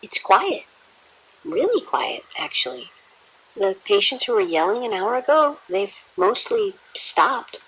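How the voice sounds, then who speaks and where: An actress playing a dutiful, uneasy nurse in an audio drama, reporting politely and hesitantly. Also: female voice, a little high, big pitch swings, unhurried, fairly bright tone, breathy edge, heavily acted, low-quality recording. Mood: unsettled.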